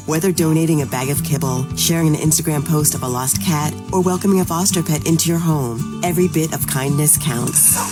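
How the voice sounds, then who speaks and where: A woman reading a scripted public service ad as its announcer. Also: low-pitched. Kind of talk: scripted ad narration. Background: music bed.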